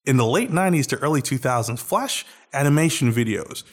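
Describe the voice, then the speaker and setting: A man's voice: mid-range voice, animated, fairly quick. A man with an American accent, a guest chatting casually on a podcast and rambling through restarts. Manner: rambling, with many restarts and fillers. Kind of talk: casual chat.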